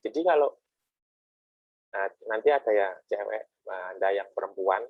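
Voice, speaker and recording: A man's voice: lowish voice, measured pace, no echo, narrow, tinny audio. A middle-aged man giving a classroom lecture in a chatty, explaining way, with frequent rhetorical asides and homely analogies.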